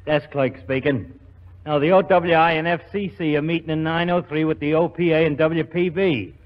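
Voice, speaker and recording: A man playing a brisk, wisecracking hotel desk clerk in 1940s radio comedy. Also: on the high side, steady tone, natural voice. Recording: dull 1940s radio recording, long reverb.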